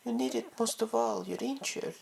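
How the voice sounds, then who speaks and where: A woman's voice: fairly high pitch, moderate pace, gravelly, a little mellow, slightly rough, exaggerated voice, rough recording. A woman playing a caring, bossy young friend in an audio drama, scolding yet motherly. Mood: gentle concern.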